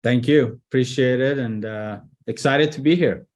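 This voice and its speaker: A man originally from Iran, speaking as a business guest in a casual interview, relaxed with frequent fillers and restarts. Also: mid-range voice, normal speed. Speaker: guest, IT executive director.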